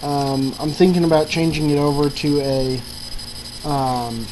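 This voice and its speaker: A woman's voice: deep voice, measured pace. A woman giving a casual, improvised walkthrough of a hobby project, hesitant and thinking aloud. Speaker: hobbyist maker showing an electronics project. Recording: clearly noisy with steady mains hum.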